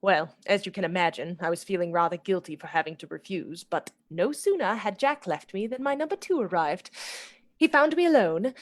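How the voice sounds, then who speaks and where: Woman playing giddy, theatrical young lady, very animated. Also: medium pitch, fairly quick, lightly acted. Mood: confiding, coy. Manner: excitable, theatrical, coy. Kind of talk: radio drama acting.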